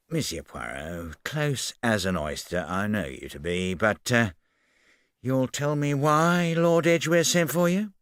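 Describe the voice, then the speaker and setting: A man voicing a blunt middle-aged police inspector in an audiobook, brisk and familiar. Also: mid-range voice, a bit bright, dry recording, clean studio sound, voice pushed up. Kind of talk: audiobook character voice.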